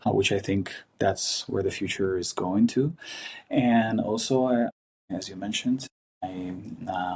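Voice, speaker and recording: A man from Ukraine with an Eastern European accent, speaking earnestly and haltingly as an interview guest. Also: low-pitched, husky. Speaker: podcast guest, investment fund partner. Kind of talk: casual interview talk.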